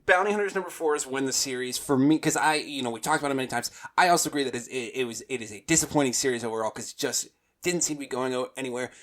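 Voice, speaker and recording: Male podcast panelist, medium pitch, informal conversational delivery. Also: racing, a bit bright. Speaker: podcast panelist reviewing comics. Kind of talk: casual chat.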